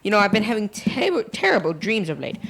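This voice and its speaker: A woman's voice: medium pitch, sing-song, brisk, a bit bright. A female actor playing a nervous, vain, bookish schoolmaster in a school radio play, speaking in florid old-fashioned phrases. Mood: boastful, smitten.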